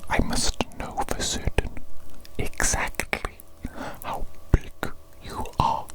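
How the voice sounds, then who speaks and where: A man in a whispered ASMR roleplay, playing a fussy, pedantic evil doctor who repeats himself slowly and precisely. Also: natural voice. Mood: fussy, coaxing. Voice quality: soft unvoiced whisper. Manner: slow, repetitive, fussy and precise.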